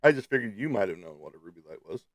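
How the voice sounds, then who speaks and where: A low-pitched man hosts a casual livestream in a close, dry sound. He is slightly stuffed up and talks to viewers in a playful, teasing way. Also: very animated, racing, no room sound. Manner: playful, teasing, peppered with sung sound effects. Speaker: livestream host.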